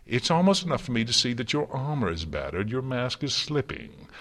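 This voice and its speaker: A mad chaos monster from a storybook, mocking and theatrical, pitch jumps way up and all over. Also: male voice, big pitch swings, a little fast, a bit bright. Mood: mad malicious glee. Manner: theatrical, taunting, crooning. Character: mad, gleefully malicious male chaos creature, theatrically mocking. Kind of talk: dramatic storybook character reading.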